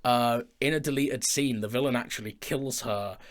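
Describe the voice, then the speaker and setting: A man's voice: mid-range voice, normal speed. A man co-hosting a casual behind-the-scenes chat in a studio, talking in a chatty, informal and self-deprecating way. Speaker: podcast co-host and audio drama maker. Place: recording studio.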